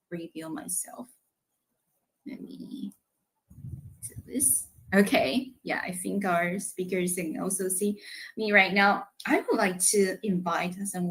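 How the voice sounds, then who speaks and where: A woman's voice: medium pitch, fairly bright tone, poor audio. A woman hosting a live audio session, welcoming guests in an upbeat, chatty way. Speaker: livestream host.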